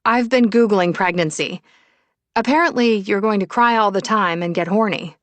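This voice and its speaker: A woman's voice: medium pitch, moderate pace, no echo, lightly acted. A woman voicing a blunt, supportive young woman, a chatty friend in an audiobook.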